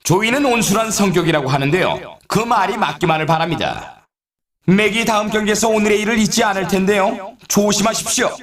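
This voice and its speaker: High-pitched, excitable race announcer. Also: male voice, a little fast, a crowd in the background. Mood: teasing, warning. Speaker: sports game announcer. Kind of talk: scripted race commentary.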